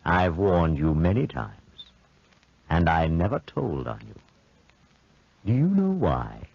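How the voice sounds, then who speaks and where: An actor playing a man's menacing ghostly double, slow, ominous and taunting, in 1940s radio horror style. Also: deep voice, sing-song, vocal fry, slightly rough, dry recording, heavily acted. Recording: dull 1940s radio recording.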